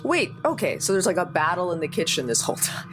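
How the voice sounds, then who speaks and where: A woman with a low voice talks casually as a player in a tabletop game. There is faint music in the background. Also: sing-song, fast talker.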